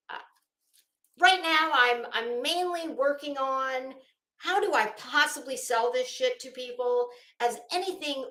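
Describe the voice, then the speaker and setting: A woman hosting a livestream, rambling casually in an animated, theatrical, self-mocking way. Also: sits high. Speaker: livestream host. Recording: echoey, roomy sound.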